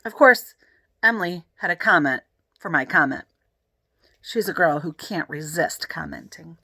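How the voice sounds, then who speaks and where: A woman reading a children's book aloud in an expressive, animated way, with chatty asides to the listeners. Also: sits low, a little slow, creaky, slightly rough, dry recording, clean studio sound. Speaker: read-aloud narrator.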